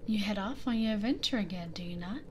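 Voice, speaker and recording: A woman playing a flirtatious, doting witch in a roleplay ASMR, speaking softly, unhurried and teasing. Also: mid-range voice, a little hiss or hum, natural voice. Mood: tender, affectionate. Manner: soft, unhurried, teasing, intimate whispering. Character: flirtatious, doting adult witch caring for her pupil.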